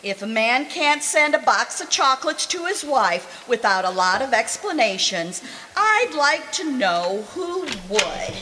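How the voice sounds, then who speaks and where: A woman's voice: a little high, big pitch swings, a little slow, background noise. A woman playing a fond, teasing housewife in old-time radio comedy, wry and exclamatory. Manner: wry, exclamatory, scolding affectionately. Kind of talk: 1940s radio comedy acting.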